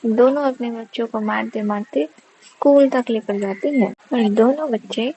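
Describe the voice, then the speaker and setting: Slightly high-voiced woman storyteller. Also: normal speed, warm tone, muffled recording, slight background noise. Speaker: narrator. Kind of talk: scripted children's story narration.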